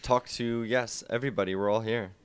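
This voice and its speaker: A man's voice: lowish voice, fairly even intonation, fairly quick, smooth, no room sound. A man co-hosting a live cooking show, chatting and teasing with an easy laugh. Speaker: show co-host. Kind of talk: casual live show chat.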